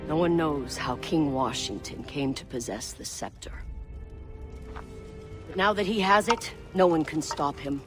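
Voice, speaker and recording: Game role: stern male village elder, rhetorical and grave, somewhat high voice. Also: a little slow, fairly bright tone. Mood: grim. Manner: solemn, emphatic, rhetorical. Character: stern Native village elder, fatalistic, scornful man. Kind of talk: dramatic game cutscene acting. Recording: dramatic music behind.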